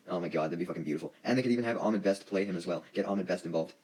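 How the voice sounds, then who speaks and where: A man with a low-pitched voice talks at a quick clip. He delivers news commentary in a casual, opinionated way, like an online host. His wording is informal and peppered with swearing. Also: darkish tone, rough recording.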